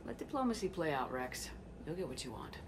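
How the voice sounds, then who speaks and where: A woman's voice: sits low, big pitch swings, a little fast, background noise. An actress playing a resolute female military commander in a video game, firm and authoritative. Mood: commanding. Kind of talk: dramatic video game acting.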